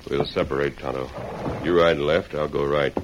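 An actor playing a calm, resolute cowboy lawman hero in an old-time radio western, speaking in a measured, formal, authoritative way. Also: very deep voice. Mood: instructing. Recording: noisy vintage radio drama recording.

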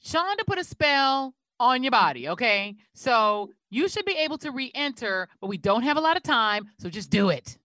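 A woman plays a loud, scheming best friend in a comedy table read. The voice is high and bright, swinging widely in pitch, with drawled, slangy emphasis. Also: big pitch swings, moderate pace, few pauses, no room sound. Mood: matter-of-fact.

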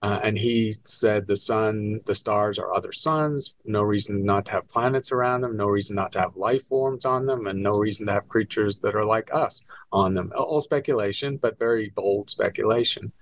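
A man's voice: fairly low pitch, fairly even intonation, moderate pace. A man in his sixties, originally from Scotland, explaining things fluently as an academic guest in an interview. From born in Scotland, raised in England and the US. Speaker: astronomy professor, author, podcast guest.

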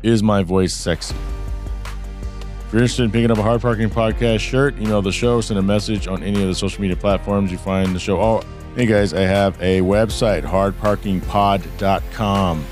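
A man hosting a casual podcast, hoarse and losing his voice, talking in a loose, rambling way with some swearing. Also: fairly low pitch, fairly even intonation, normal speed, darkish tone, soft background music. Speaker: podcast host. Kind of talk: casual chat. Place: studio.

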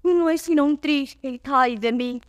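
Fearful, high-voiced pleading young traveller. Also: female voice, slow. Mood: fearful, sorrowful. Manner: plaintive, pleading declamation. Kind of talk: declaimed classical Spanish verse drama.

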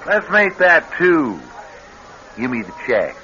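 An actor playing a wily, smugly amused private detective in a radio crime drama, with a casual, folksy, needling delivery. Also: male voice, on the high side, very animated, slow, sharp tone, soft background music, heavily acted. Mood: breezy, sly. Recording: dull, hissy old radio recording.

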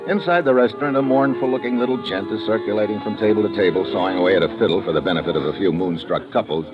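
Actor as low-voiced hard-boiled American detective. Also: male voice, very fast, close to an everyday voice. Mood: wry narration. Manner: wry, clipped, slangy. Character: wisecracking, hard-boiled American male private investigator. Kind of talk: old-time radio detective acting and first-person narration. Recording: muffled old radio recording with music.

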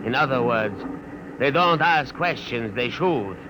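An actor playing a cold, gloating spaceship captain in a vintage radio drama, formal and deliberate in his menace. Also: mid-range voice, expressive, natural voice. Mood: smug menace. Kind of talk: 1950s radio drama acting. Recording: noisy vintage radio recording.

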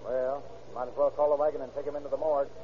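Male actor as a plain-spoken police captain, quick delivery, dull hissy vintage recording. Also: mid-range voice, little pitch movement, lightly acted. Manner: plain-spoken, matter-of-fact. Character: steady practical motorcycle police captain, man. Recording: dull old radio recording with hiss.

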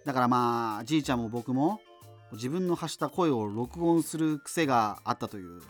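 Rambling, man-acted amateur radio host, lively pitch swings. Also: moderate pace, soft background music, lightly acted. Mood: chatty, rambling. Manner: rambling, self-interrupting, digressive, casual asides. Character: rambling male amateur solo radio host in doomed 2039. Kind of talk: conversational radio monologue in a scripted radio drama.

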